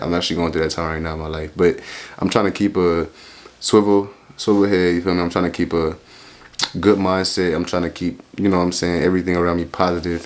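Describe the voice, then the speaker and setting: Reaction host, man in his twenties, very low voice, loose rambling delivery full of slang. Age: 20s. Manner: rambling, slangy, keeps asking "you feel me?". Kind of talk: casual reaction commentary.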